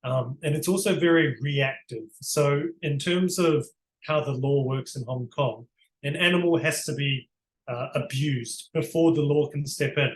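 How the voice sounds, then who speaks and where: A man's voice: fairly high pitch, measured pace, reverberant, low-quality recording. A man with a New Zealand accent, answering interview questions in an earnest, explanatory way with frequent restarts. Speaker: barrister, interview guest. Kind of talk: conversational interview.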